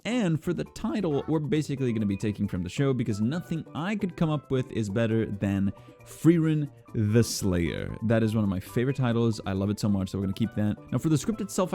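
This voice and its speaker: A young man who creates videos, chatting casually in an informal, excitable and expressive way. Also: medium pitch. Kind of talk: casual chatty vlog talk.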